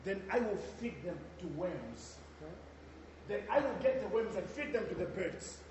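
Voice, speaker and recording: A man playing a tyrannical school headmistress in a stage play, booming threats and sneering insults. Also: big pitch swings, normal speed, a little mellow, highs cut off. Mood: threatening. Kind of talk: amateur stage play acting. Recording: echoey hall, mic far off, noisy.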